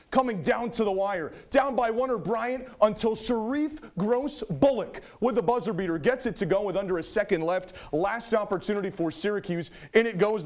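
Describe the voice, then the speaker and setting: Male sports broadcaster, fairly high voice, emphatic and punchy, calling highlights and filing TV reports. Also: a little mellow. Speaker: sports play-by-play announcer and TV reporter.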